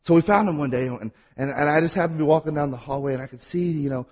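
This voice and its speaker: A man with a Mississippi accent preaching a sermon, telling stories and at times talking fast. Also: a little high, darkish tone, slightly rough. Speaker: pastor.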